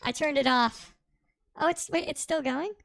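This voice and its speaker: A man live streaming casually, his voice run through a voice changer that shifts it to deep or high pitch. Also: normal speed, vocal fry, a bit bright, no room sound. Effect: voice changer, jumping between deep and high pitch.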